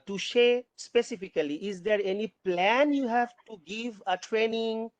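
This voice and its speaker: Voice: medium pitch, no room sound. Moderator with a dry, close sound. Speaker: panel moderator. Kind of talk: reading audience questions aloud in a live panel.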